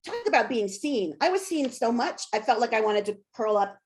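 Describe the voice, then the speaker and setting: A woman's voice: on the high side, lively intonation, a little fast, a little mellow. A woman being interviewed as an author and scholar, talking casually and candidly with self-deprecating humor.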